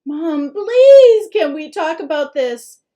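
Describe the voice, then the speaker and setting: A woman voicing a panicking teenage boy who pleads in a high-pitched voice. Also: lively intonation, slow, darkish tone. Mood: pleading panic.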